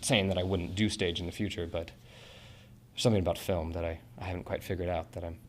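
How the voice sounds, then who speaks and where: A man's voice: deep voice, steady tone, fast talker, slight background noise. A young man in his twenties from Portland, talking earnestly about acting school in an interview, rambling with fillers and restarts. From Portland, Oregon. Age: 20s. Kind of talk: casual interview answers.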